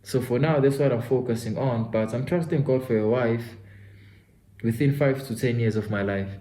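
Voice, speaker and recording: A young South African man in his twenties, a native Zulu speaker, with a soft, mellow tone. He talks earnestly to the listener like a friend. His voice carries a roomy echo from a home studio. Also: mid-range voice, normal speed. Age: 20s. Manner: earnest, talks straight to the listener, calls him "my guy". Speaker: self-development vlogger. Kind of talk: motivational storytelling vlog.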